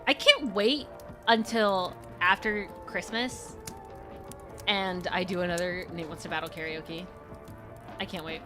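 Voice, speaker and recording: A woman's voice: on the low side, unhurried, pauses often. A woman streamer in casual chat, rambling and laughing often with faint music behind her.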